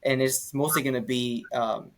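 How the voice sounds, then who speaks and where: A man's voice: medium pitch, steady tone, moderate pace, low-quality recording. A young man, a singer, chatting casually as a podcast guest, rambling with many fillers. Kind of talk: casual interview chat.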